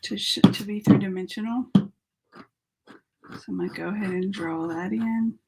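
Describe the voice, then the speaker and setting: A woman's voice: medium pitch, moderate pace, vocal fry, very noisy. A woman artist with a sore throat and a fading voice, chatting casually and thinking aloud as she paints during a live tutorial. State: sore throat, losing her voice.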